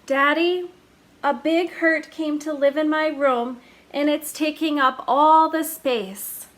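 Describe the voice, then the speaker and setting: A woman's voice: high voice, takes it slowly, a bit bright, voice pushed up. A woman voicing a young boy with hurt feelings, talking in an earnest, childlike way.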